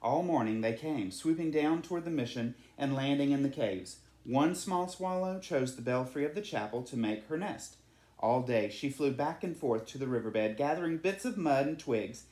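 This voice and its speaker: A storyteller reading a children's storybook aloud in an animated, dramatic way. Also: mid-range voice, moderate pace, roomy sound, rough recording.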